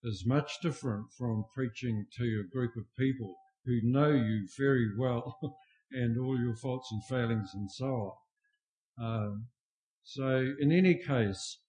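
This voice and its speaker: An older man with a soft voice, opening a sermon with greetings in a slow, self-deprecating way. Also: medium pitch, slightly rough, low-quality recording. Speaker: guest preacher.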